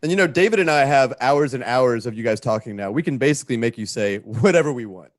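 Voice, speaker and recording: A man's voice: mid-range voice, lively intonation, fast, no room sound, studio quality. A man co-hosting a casual show with joking banter.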